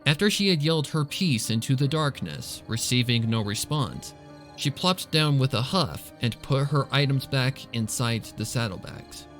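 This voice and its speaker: A man narrates a dark story in a mid-pitched voice. It is scripted storytelling with dramatic delivery, set over faint music and chirping night insects. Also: lively intonation, moderate pace. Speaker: fan fiction reader and narrator.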